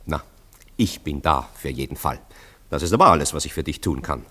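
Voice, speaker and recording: Deep, wry skeptic, reverberant. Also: male voice, expressive, a little fast, bright, forceful tone, husky, exaggerated voice. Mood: dry, detached. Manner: wry, ironic, teasing. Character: dry, skeptical Viennese friend, mockingly worldly man. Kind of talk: theatrical German radio drama dialogue.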